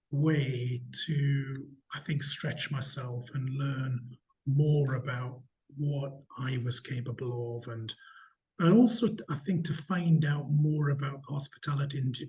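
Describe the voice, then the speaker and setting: A middle-aged man from Newcastle with a slight Geordie accent, answering interview questions in a measured, candid way with frequent restarts. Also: mid-range voice, darkish tone, poor audio. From Newcastle, England. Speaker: hotel company chief executive, interviewee.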